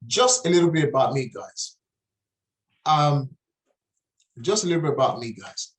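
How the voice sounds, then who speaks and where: A man's voice: fairly high pitch, expressive, normal speed. Nigerian-accented man presenting a webinar. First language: Nigerian language. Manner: earnest, repeats and restarts phrases. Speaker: property investing trainer.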